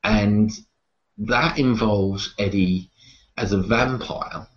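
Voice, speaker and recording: Low-voiced man in a muffled interview. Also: takes it slowly. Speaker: interview guest, horror writer and publisher. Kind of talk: casual interview talk about writing.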